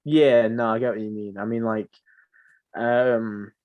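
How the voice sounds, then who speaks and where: A man's voice: mid-range voice, little pitch movement, a little slow, highs cut off. Casual man chatting on a podcast. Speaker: podcast host. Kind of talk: casual film podcast chat.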